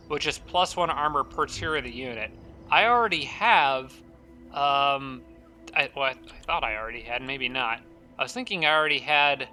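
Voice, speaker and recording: Male strategy-game commentator, very bright tone, slightly raspy, rambling thinking-aloud delivery with faint music underneath. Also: mid-range voice. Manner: thinking aloud with frequent fillers and restarts. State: slightly raspy, dry throat. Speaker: strategy game let's-play host. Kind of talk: casual gameplay commentary.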